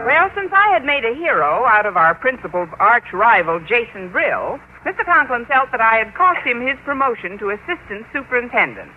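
An American actress playing a wry, quick-witted high school teacher with dry wisecracks in radio sitcom style. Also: female voice, sing-song, soft background music. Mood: wry, exasperated. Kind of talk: 1940s radio sitcom acting. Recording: dull, noisy vintage radio recording.

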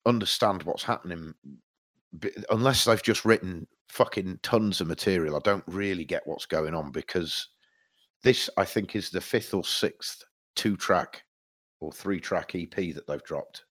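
Podcast co-host, male, very deep voice, congested, rambling casual delivery. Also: a little slow, fairly bright tone. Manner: rambling, restarts a lot, swears casually. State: stuffed up with hay fever. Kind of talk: casual music chat.

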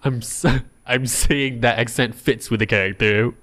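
Young malaysian guy on a podcast, teasing, laughs a lot, lively chatty. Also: medium pitch, measured pace. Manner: laughs often, animated and teasing. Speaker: podcast co-host. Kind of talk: casual chat.

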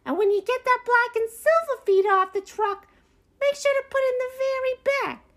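A woman voicing a bossy male raccoon bully in a children's story, yelling orders and threats like a wiseguy. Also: very high voice, normal speed, voice pushed up. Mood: barking, impatient. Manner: shouting orders, threatening, New York wiseguy phrasing.

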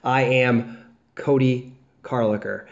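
A man's voice: medium pitch, steady tone, takes it slowly, creaky. A young American man hosting a casual solo fantasy football podcast, chatting loosely with fillers and restarts. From United States, south of the Chicago area. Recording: dull lo-fi podcast audio.

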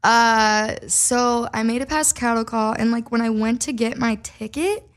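A woman's voice: fairly high pitch, a little slow. Chatty young woman from Minnesota. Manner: chatty, full of "like" fillers, laughs easily. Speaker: podcast guest, singer. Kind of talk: casual storytelling chat.

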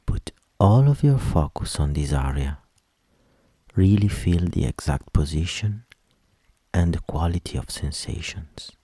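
A man leading a guided meditation in a soft, soothing voice with gentle instructions that trail into whispers. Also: low voice, lively intonation, slightly rough, no echo, studio quality. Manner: slow, gentle instructions with long pauses.

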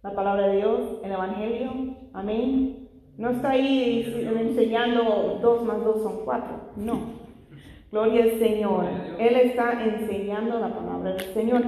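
A woman whose first language is not Spanish leads a church Bible study. Her voice sits a little high, and she keeps pausing to praise God and put questions to the room. It is recorded in a church hall with heavy, long reverb. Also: steady tone, mellow. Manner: frequent "Gloria al Señor" interjections, asks the congregation questions.

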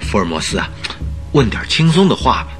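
A man's voice: lowish voice, very animated, fairly quick, a bit bright, soft background music. An actor playing a detective's nervous, uneasy male companion in a radio drama.

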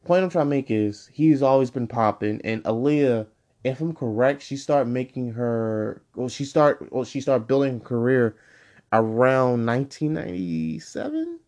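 A man's voice: mid-range voice, lively intonation, moderate pace, a little mellow. Rambling young man podcasting solo. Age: 20s. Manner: rambling, full of fillers and self-corrections. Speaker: podcast host. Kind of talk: casual solo podcast monologue.

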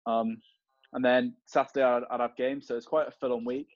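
A young man chatting casually with friends in a relaxed, jokey way. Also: mid-range voice, brisk, dry recording, muffled recording, soft background music. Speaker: guest catching up with friends. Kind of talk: casual chat.

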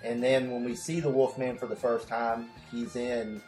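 A man's voice: medium pitch, little pitch movement, normal speed, a little mellow. A man chatting casually as a podcast co-host. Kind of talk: casual animated chat. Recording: roomy sound with faint music.

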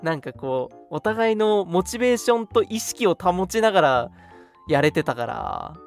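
A young man co-hosting a casual chat show, agreeable and quick to back up his partner. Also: sits high, sing-song. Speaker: radio show co-host, audio drama creator. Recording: background music under speech. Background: music.

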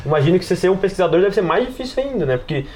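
Higher-voiced man chatting casually over noise. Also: big pitch swings, rapid-fire, darkish tone. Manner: informal, hesitant, circling back to rephrase. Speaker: RPG podcast participant and player. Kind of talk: casual chat.

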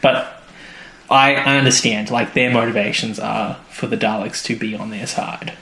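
A man's voice: lowish voice, fairly even intonation, moderate pace, creaky, sharp tone. A man co-hosting a podcast, retelling television episodes in a casual, jokey, informal way. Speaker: podcast co-host. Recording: echoey room, faint music behind.

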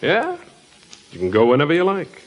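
Blunt, needling cop, very animated pitch. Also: male voice, moderate pace, highs cut off. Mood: easygoing. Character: gruff, taunting male police officer. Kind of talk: old-time radio crime drama acting. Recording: noisy old radio recording, clicks.

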